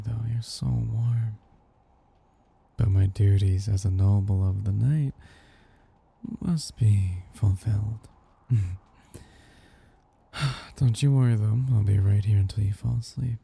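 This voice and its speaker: A man as a husky, dark-voiced seductive vampire master. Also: lowish voice, heavily acted. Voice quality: husky, breathy, gravelly. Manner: slow, coaxing and doting, with soft hums. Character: possessive, tender, seductive male vampire noble master. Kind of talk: intimate ASMR roleplay.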